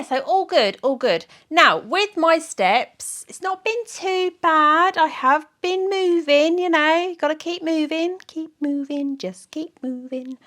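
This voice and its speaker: Middle-aged woman, croaky from a sore throat, chatty, giggly vlogger. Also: sits high, a bit bright. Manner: chatty, giggly, self-mocking, rambling with fillers. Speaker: weight-loss vlogger. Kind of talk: casual chat to camera.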